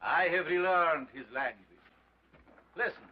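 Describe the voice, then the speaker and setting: A man playing a grandiose carnival showman and self-styled scientist, speaking in a booming, theatrical way. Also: a little slow, husky, lo-fi sound. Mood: solemn. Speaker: sideshow doctor presenting an ape.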